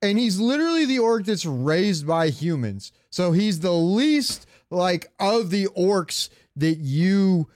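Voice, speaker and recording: A man's voice: sits high, sing-song, takes it slowly, hardly any pauses, darkish tone. A man co-hosting a casual podcast, debating game lore in an argumentative, insistent way and often repeating himself. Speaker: podcast co-host.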